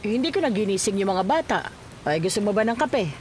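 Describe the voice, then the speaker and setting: A woman's voice: medium pitch, expressive, fast, darkish tone, slight background noise, lightly acted. A Filipino woman playing a caring, homely mother in a drama, chatty and motherly. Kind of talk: audio drama acting.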